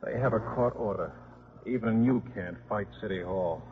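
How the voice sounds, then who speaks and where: An actor playing a wisecracking, scheming football coach and father, brisk and slangy, in a 1950s radio drama. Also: lowish voice, a little hiss or hum, close to an everyday voice. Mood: firm, resigned. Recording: muffled vintage 1950s radio broadcast.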